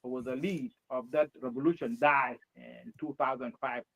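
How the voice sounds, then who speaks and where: A man with a South Sudanese accent, speaking English learned later in life, answering interview questions in a halting way with many restarts. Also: medium pitch, fairly even intonation, vocal fry, fairly bright tone. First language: his mother tongue and Arabic. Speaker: guest, presidential candidate. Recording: dull lo-fi sound.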